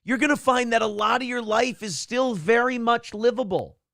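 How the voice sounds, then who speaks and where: A man's voice: extremely high pitch, moderate pace, fairly bright tone, no echo, studio quality. A man hosting a casual morning talk show, brash and profane, reading viewer donations aloud and riffing on politics.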